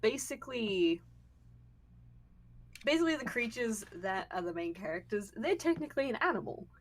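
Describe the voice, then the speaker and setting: A woman talking casually as a guest on a podcast about her creative work, with a halting, giggly delivery. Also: fairly high pitch, very animated. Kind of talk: casual chat.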